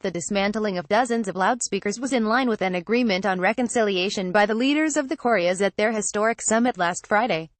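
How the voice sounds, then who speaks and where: A woman's voice: mid-range voice, fairly quick, hardly any pauses, no echo. Woman reading news in a dry voice-over. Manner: formal, impersonal reading that includes photo captions verbatim. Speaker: news narrator. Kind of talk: scripted narration of a read-out news article.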